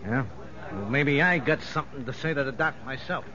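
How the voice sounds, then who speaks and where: A man's voice: medium pitch, animated, fairly quick, a crowd in the background, close to an everyday voice. An actor playing a menacing, boastful convict gang boss in a 1950s radio drama, bullying in tough-guy slang. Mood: threatening. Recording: muffled, reverberant vintage radio broadcast.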